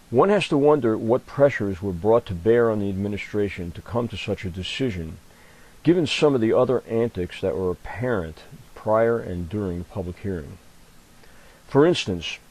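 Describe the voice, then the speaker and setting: Low-voiced man narrating a news report. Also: darkish tone, poor audio. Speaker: reporter narrating a recorded event. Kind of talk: scripted voice-over narration.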